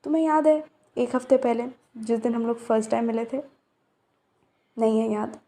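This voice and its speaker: A woman's voice: fairly high pitch, normal speed, warm tone. A young woman acting out a romance roleplay to a silent listener, teasing and chatty.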